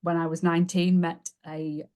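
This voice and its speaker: Chatty Yorkshire woman, lower voice. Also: level tone, moderate pace. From Yorkshire, England. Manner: warm, chatty, self-deprecating, laughs along. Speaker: podcast guest, business coach. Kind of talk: casual interview storytelling.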